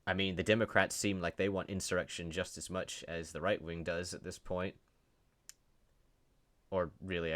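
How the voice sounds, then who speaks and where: Low voiced guy doing unscripted commentary, reads stuff out and reacts all sarcastic and incredulous. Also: fairly bright tone. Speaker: commentary host. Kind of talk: unscripted commentary, reading posts aloud and reacting.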